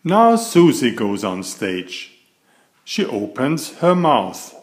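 A man reading a picture book aloud to young children in an expressive, deliberate storytelling manner. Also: mid-range voice. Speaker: picture-book reader and author of children's stories.

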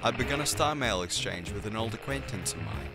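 Bookish lab scientist for radio drama, male actor, low-voiced, formal and exact, music loud underneath. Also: animated, brisk, lightly acted. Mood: earnest, composed. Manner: formal, precise wording. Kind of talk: fan radio play acting.

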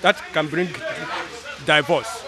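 A man's voice: fairly high pitch, slow, a crowd in the background. An older man with a Nigerian accent, answering a street interview in a rambling way with pidgin phrases, into a handheld mic outdoors. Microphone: handheld mic held by interviewer.

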